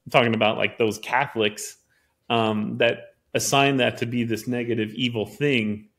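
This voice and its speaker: A man's voice: medium pitch, fairly even intonation, normal speed, a bit bright. Male podcast host, mid-range voice, casual, earnest explainer.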